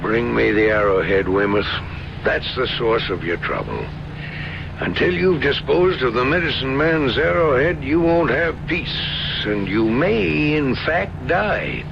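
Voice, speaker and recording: A man acting an ominous, commanding colonel with a gravelly voice in an old-time radio mystery. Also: medium pitch, very animated, a little slow. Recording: muffled old radio broadcast under engine noise.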